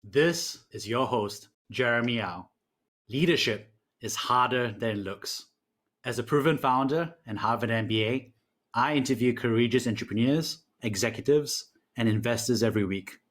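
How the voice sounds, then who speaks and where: A man's voice: mid-range voice, normal speed, fairly bright tone. A male podcast host with a mid-range voice. He opens with a scripted intro, then welcomes his guest in an upbeat, enthusiastic way.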